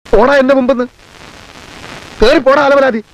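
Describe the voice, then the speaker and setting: A man's voice: extremely high pitch, steady tone, moderate pace, a little hiss or hum, poor audio. An actor playing an angry, insulting man in a film, with heated delivery. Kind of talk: film dialogue.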